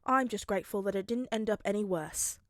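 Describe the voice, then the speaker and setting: A woman voicing a calm, mild-mannered father, quiet and measured, in an audiobook story. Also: mid-range voice, no echo, studio quality, lightly acted. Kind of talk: audiobook character acting.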